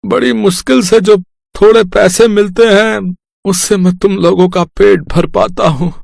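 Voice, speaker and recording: A man voicing a poor, kind-hearted village labourer and father in an animated moral story, earnest and plaintive. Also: sits high, unhurried, gravelly, dry recording, clean studio sound, heavily acted. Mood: sorrowful.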